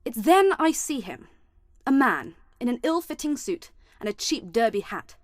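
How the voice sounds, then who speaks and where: High-pitched, genteel British wife. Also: female voice, big pitch swings, normal speed, no room sound, clean studio sound. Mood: distressed. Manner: refined, wistful, period diction. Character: genteel Edwardian general's wife, narrating her own murder. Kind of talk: radio play acting, first-person narration.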